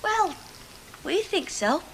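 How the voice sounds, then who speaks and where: A woman's voice: extremely high pitch, very animated, slow pace, noisy background, heavily acted. A chatty landlady. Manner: chatty, homely, colloquial. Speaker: woman posing as a landlady.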